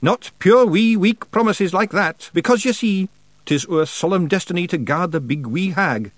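A man voicing a rascally, proud little clansman with a broad Scottish accent and a blustering, excitable manner. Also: sits high, normal speed, no echo, voice pushed up. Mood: cheerfully proud.

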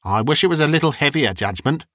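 A man voicing a coarse, greedy old charwoman who mocks the dead in brash, quick bursts. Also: on the high side, animated, fairly bright tone, dry recording, studio quality, pitched above the performer's own voice. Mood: scornful.

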